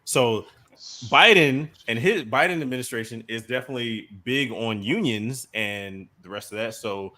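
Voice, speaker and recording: A man with a bright, forceful voice, co-hosting a casual podcast. He chimes in with quick agreement, then explains matters earnestly. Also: mid-range voice. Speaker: podcast co-host. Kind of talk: casual chat.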